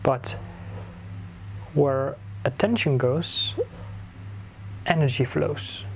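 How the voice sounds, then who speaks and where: Guy doing a guided meditation, really slow n soothing, long pauses, noticeable ambient noise behind him. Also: mid-range voice. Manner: soothing, instructing in short phrases with long pauses. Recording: clear background noise, ambient sound.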